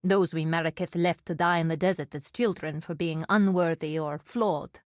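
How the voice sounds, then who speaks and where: A woman's voice: low-pitched, fairly even intonation, dry recording, clean studio sound, lightly acted. A woman playing a stern desert warrior woman. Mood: reserved. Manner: formal, measured, solemn. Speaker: game character. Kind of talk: video game voice lines.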